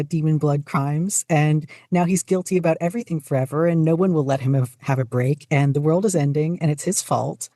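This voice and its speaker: A podcast guest chatting casually, speaking in halting but enthusiastic bursts. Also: medium pitch, dark tone, dry recording, studio quality. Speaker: podcast guest, fan fiction writer. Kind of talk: casual interview chat.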